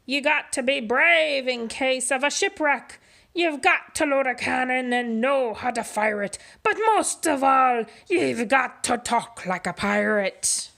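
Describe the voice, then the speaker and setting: An impatient pirate captain from a picture book barks out pirate slang and rhyming chants, as a woman puts him on. The voice sits a bit high and bright, and the delivery is slow and emphatic. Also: gravelly, full character voice. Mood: chanting. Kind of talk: storybook read-aloud.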